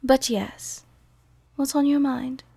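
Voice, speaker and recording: A woman playing a worldly, softly dominant vampire countess in an intimate roleplay ASMR, with a soft, gentle, tenderly commanding way of speaking. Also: high-pitched, steady tone, takes it slowly, vocal fry, husky, no room sound, heavily acted. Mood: playful, worldly.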